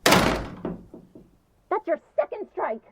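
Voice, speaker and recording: A woman playing a jealous, menacing vampire in an ASMR roleplay, sarcastic and taunting, often dropping into breathy hisses. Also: very high-pitched, wildly swooping pitch, very slow, no echo, heavily acted. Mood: irritated.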